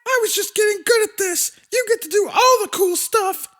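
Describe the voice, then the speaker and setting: Very high cheeky monster girl. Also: normal speed, a bit bright. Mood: whiny, eager. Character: cheeky, gleefully gruesome monster big sister.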